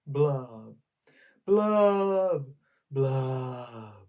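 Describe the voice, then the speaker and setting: A woman voicing a glum male fish who sulks and sing-songs his dreary rhymes, higher than her own voice. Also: animated, extremely slow, mellow, heavily acted. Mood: mopey. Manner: mopey sing-song rhyming.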